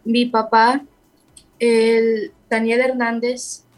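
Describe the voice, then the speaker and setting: Young woman, halting speech. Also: on the high side, level tone. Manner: halting, searching for words, apologizes for her Spanish. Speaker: singer and bassist of a worship band. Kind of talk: interview guest.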